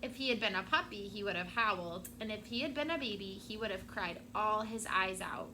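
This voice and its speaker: A woman teacher reading a picture book aloud to her class, expressive and stopping to prompt the children. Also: medium pitch, fairly quick, a little hiss or hum, rough recording.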